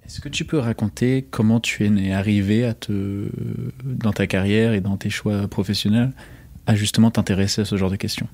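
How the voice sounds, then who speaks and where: A man hosting a podcast, narrating calmly and describing a street on location. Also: on the low side, moderate pace. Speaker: podcast host and reporter.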